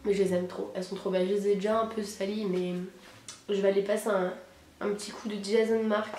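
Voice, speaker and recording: A young woman, hoarse from a cold, chatting casually and slangily to camera as an enthusiastic vlogger. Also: mid-range voice, little pitch movement, quick pace, dark tone, slight background noise. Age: teens to young adult, high school student. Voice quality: hoarse, husky. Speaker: sneaker vlogger presenting purchases. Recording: roomy echo, music behind.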